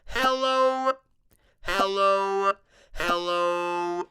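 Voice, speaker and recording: A man's voice: high-pitched, very slow, bright, forceful tone, smooth, voice pushed up, heavily acted. A man voicing a robotic singing synthesizer through a talk box effect, in a music demonstration. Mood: robotic, musical.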